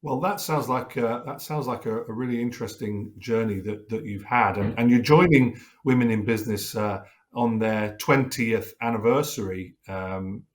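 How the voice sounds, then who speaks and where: A man's voice: medium pitch, moderate pace. A middle-aged man hosting a business interview, friendly and conversational with some restarts. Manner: friendly, scripted intro, then conversational with restarts. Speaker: host.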